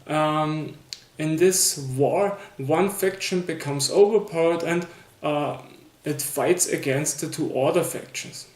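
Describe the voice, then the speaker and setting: Austrian guy doing a board game review in english, slow and hesitant with lots of uhs, slightly high voice, echoey room. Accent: Austrian German. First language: German. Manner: hesitant, many fillers and restarts. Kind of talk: casual spoken review.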